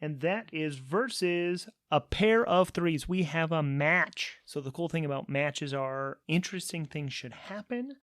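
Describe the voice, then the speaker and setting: A man's voice: a little high, measured pace, hardly any pauses, fairly bright tone, dry recording, clean studio sound. A man narrating a solo tabletop role-playing game as host and player, thinking aloud between casual rules talk and storytelling.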